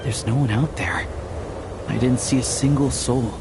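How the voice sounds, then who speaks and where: A grave, watchful male game character acted by a man with a medium-pitched voice and measured, serious delivery. There is clear ambient noise behind him. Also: natural voice. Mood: wary, commanding. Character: grave, cautious man warning of danger. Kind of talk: video game voice acting.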